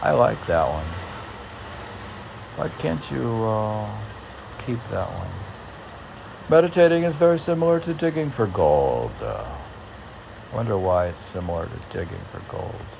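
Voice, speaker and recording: Slow creaky guy rambling thru poems, thinking out loud, kinda hesitant, bit of background noise. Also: on the low side. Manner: musing, hesitant, thinking aloud. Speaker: poet reading and musing on his own poems. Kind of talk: casual rambling commentary between read-aloud lines of poetry.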